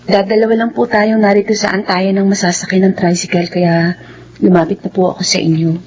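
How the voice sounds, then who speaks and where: A woman's voice: mid-range voice, level tone, a little fast, natural voice. Woman as a polite, resigned young woman. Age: young adult. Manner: polite, gentle. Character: polite, forgiving young woman, resigned after lost love. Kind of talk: radio drama acting. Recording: dull, faintly noisy.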